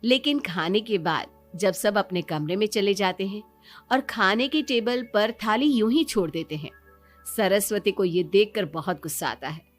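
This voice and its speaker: A woman's voice: mid-range voice, normal speed, faint music behind. A woman giving scripted narration of a moral story as the storyteller.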